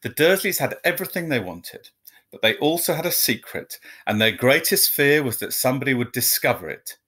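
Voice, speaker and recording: A man with a medium-pitched voice reads a storybook aloud for young listeners. His delivery is expressive and measured, leaning on key words for dramatic emphasis. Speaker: storyteller reading aloud to students. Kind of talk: expressive children's storybook reading.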